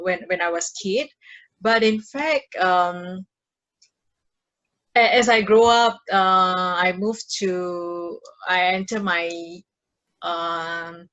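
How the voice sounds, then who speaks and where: A woman from Indonesia with an Indonesian accent, sharing personal stories as a podcast guest in a halting, casual way. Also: mid-range voice, fairly bright tone, muffled recording. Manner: halting, with frequent repeated words. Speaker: podcast guest, mindfulness practitioner.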